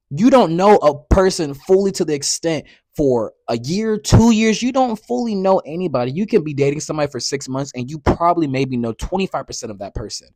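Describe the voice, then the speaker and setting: A man in his early twenties hosting a podcast, talking casually and with sass, sometimes jumping into loud, high outbursts. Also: no echo. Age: 20s. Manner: animated, sassy, conversational with frequent "you know what I'm saying"; rises into loud high outbursts when worked up. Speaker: podcast host. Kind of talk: casual solo podcast talk.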